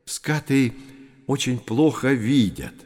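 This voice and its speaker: An actor playing a learned professor who explains things articulately to children in an educational audio drama. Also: male voice, medium pitch, sing-song, takes it slowly, a little mellow. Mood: explanatory. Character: learned male professor guiding children on sea voyage.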